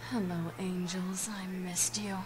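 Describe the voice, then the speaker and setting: Cool sarcastic dhampir game heroine, lowish sultry voice, sure of herself. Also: female voice, little pitch movement, slow pace, a crowd in the background. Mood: dry, confident. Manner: sultry, dry, self-assured. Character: cool, sardonic half-vampire woman huntress, dangerous. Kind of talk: video game cutscene acting.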